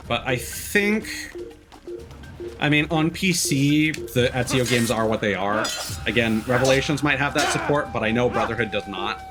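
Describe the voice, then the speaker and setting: A man doing casual livestream commentary as a game streamer, talking in a rambling, halting, sweary way. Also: mid-range voice, expressive, music in the background.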